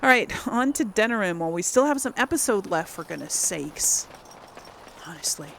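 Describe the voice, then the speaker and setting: A woman's voice: medium pitch, lively intonation, normal speed, vocal fry, fairly bright tone, a little hiss or hum. A woman hosting casual video game commentary, thinking aloud as she plays. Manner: thinks aloud while playing. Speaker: gaming host.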